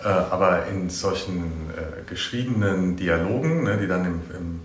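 A man's voice: deep voice, lively intonation, moderate pace. A man interviewing someone in a casual chat, thinking aloud hesitantly and working through examples as he asks. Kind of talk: casual conversational questioning. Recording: roomy echo around the voice.

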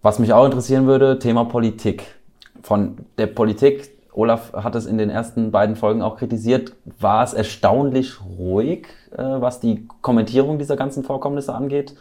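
A man's voice: mid-range voice, fairly even intonation, moderate pace, mellow. A man hosting an interview podcast, talking in a conversational style.

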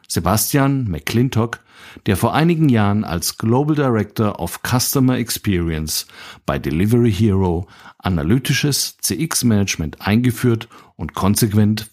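Guy hosting an interview podcast, voice on the lower side. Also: expressive, normal speed, darkish tone. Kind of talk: scripted introduction, then conversational interview questions.

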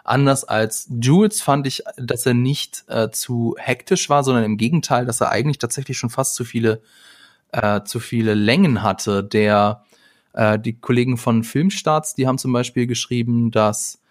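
A man's voice: mid-range voice, moderate pace, no room sound, clean studio sound. A man co-hosting a podcast in casual chat, talkative and steering the talk with frequent fillers. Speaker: podcast co-host.

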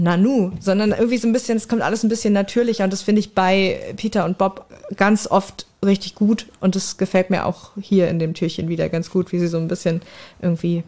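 A woman's voice: medium pitch, mellow. Woman chatting casually in a group. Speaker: podcast guest. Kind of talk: casual chat, reviewing an audio drama.

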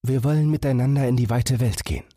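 Man playing a breathy, plaintive little boy. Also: medium pitch, fast, warm tone, no room sound, studio quality, pitched above the performer's own voice, lightly acted. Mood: downcast. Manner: plaintive, earnest. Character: weary, determined little boy, fairy-tale brother.

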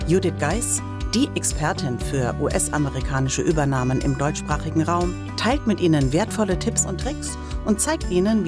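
A woman reading a scripted promotional podcast introduction. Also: sits low. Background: music.

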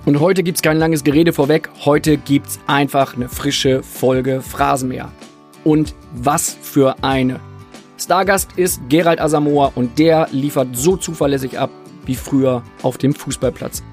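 A man hosting a podcast, upbeat and quick, introducing an episode and interviewing a guest. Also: mid-range voice, fairly even intonation, a little mellow, faint music behind. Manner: upbeat, quick-talking host. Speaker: podcast host. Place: small press room in a stadium.

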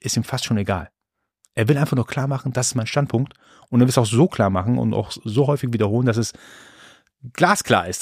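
A man's voice: mid-range voice, dry recording, studio quality. A man born in Iran, hosting a solo podcast in a casual, rambling monologue with frequent restarts. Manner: chatty, rambling with restarts and fillers. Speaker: podcast host.

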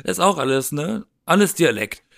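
A man's voice: on the high side, expressive, moderate pace, creaky, fairly bright tone. A man in a casual podcast chat, explaining things and bantering dryly as co-host.

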